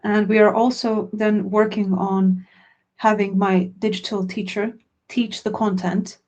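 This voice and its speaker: Woman, native Croatian speaker, teacher, webinar answers, earnest, mid-pitched. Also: little pitch movement, measured pace, a little mellow, dull audio. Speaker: language teacher and product founder.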